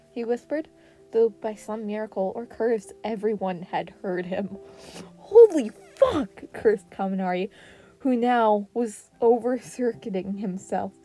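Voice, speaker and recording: A woman narrates a fan fiction podfic in a soft, mellow voice. Her storytelling is expressive and sits at a medium pitch, with music playing underneath.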